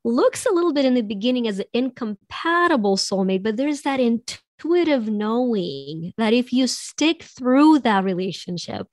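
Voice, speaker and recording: A woman with a raspy voice, a coach talking reflectively in a podcast conversation, with many "you know" asides. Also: on the high side, expressive, normal speed, hardly any pauses, a little mellow, no room sound. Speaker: possibility coach.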